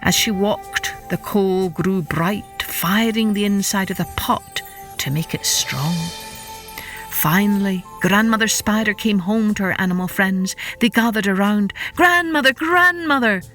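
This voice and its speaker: A woman narrates a children's tale at a slow, deliberate pace with music behind her. Her mid-pitched delivery is expressive and dramatic, shaped for young listeners. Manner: dramatic, deliberate, with repetition for effect. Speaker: children's podcast storyteller. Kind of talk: expressive scripted storytelling for children.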